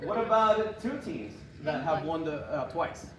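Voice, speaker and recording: A young man from Honduras giving a lively, joking talk and quizzing a live audience. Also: fairly high pitch, measured pace, crowd noise. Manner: joking, quizzing the audience, chuckling at own jokes. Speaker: presenter. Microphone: room mic from a distance. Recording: echoey hall recorded from far off, background noise.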